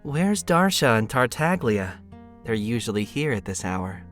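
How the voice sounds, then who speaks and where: A woman voicing a quiet, reserved young man, calm and low. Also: measured pace, soft background music, exaggerated voice. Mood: puzzled.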